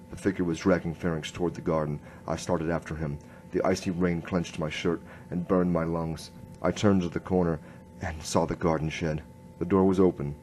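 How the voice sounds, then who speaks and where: Man playing noir radio detective, very low voice, halting, hard-boiled narration, noisy recording. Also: darkish tone, lightly acted. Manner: hard-boiled first-person storytelling, halting. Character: male noir police inspector, earnest, halting, narrating his case.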